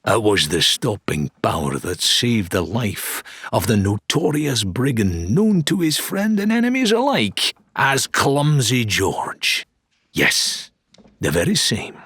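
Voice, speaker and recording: Grandiose pirate salesman swindler for an audiobook, slow showy delivery, pitch all over, slightly husky. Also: big pitch swings, fairly bright tone, no room sound, clean studio sound, full character voice. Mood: dramatic, reverent. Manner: theatrical, patter-like salesmanship with drawn-out flourishes. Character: grandiose, blustering male swindler of a pirate weapons dealer. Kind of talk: dramatised audiobook character dialogue.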